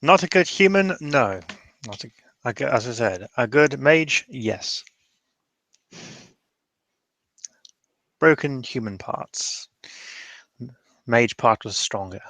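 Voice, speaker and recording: A man's voice: mid-range voice, animated, measured pace, fairly bright tone, dry recording. A man playing a wry, reluctant fey-blooded mage who grumbles and rambles through improvised tabletop roleplay. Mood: wry, opinionated.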